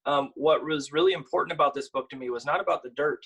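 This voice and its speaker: A man who works as a children's author, talking casually and explaining in a halting, conversational way during a storytime. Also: fairly high pitch, fairly bright tone, slightly rough. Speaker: picture book author and illustrator. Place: at home, online video event.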